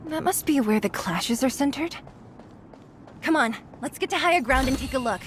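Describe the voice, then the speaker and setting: A woman voice acting a brisk, blunt young fighter in a video game, curt and no-nonsense. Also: sits high, animated, a little hiss or hum. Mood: serious, brisk.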